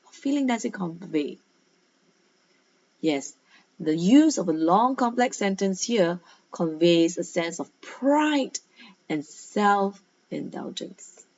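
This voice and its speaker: Female teacher for guided reading lesson: very slow pace, instructive questioning, emphatic key words, slightly muffled lo-fi recording. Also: lowish voice, animated, gravelly, a little mellow, slight background noise. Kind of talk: guided read-aloud lesson with questions and explanations. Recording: dull, lo-fi sound.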